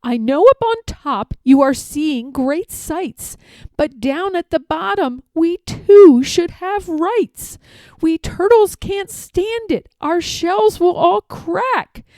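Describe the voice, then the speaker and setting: A woman's voice: very high-pitched, expressive, slow pace, dark tone, dry recording, pitched above the performer's own voice, exaggerated voice. A woman voicing a humble, weary little male turtle who pleads and groans in a rhyming storybook. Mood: pained, pleading. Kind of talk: rhyming storybook read-aloud.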